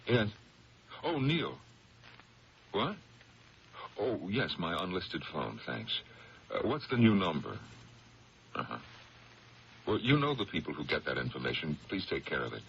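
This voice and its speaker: A slightly noisy vintage radio drama recording of a weary American district attorney. His voice is extremely low and moves very freely in pitch as he hesitates and evades. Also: very animated, a bit bright, heavily acted. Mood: guarded, tense. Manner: hesitant, halting. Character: troubled male district attorney, weary, evasive, guarded husband. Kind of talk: 1970s radio drama acting. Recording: dull old radio recording, faint noise.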